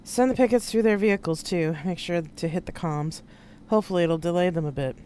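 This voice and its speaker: A narrator voicing a commanding male intelligence chief in an audiobook story, brisk and urgent. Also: medium pitch, darkish tone, slight background noise, close to an everyday voice. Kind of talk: audiobook character dialogue.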